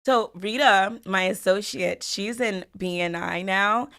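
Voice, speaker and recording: A woman's voice: medium pitch, a little slow, a bit bright. A woman from the Bahamas and Florida answering interview questions as a guest in a reflective, conversational way. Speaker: podcast guest, family law attorney.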